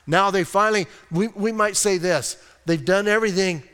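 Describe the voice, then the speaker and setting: A male pastor preaching a conversational, emphatic sermon. He asks rhetorical questions and reads scripture slowly and deliberately. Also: high voice, fairly bright tone, smooth. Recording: reverberant hall sound.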